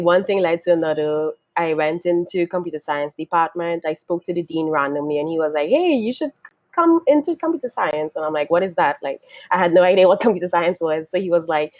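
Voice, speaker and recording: A woman's voice: low voice, a little fast, dry recording. A woman from Trinidad and Tobago telling her story casually on a webinar, chatty, with lots of fillers and laughter. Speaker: webinar panelist. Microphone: video call. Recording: dull lo-fi video call audio.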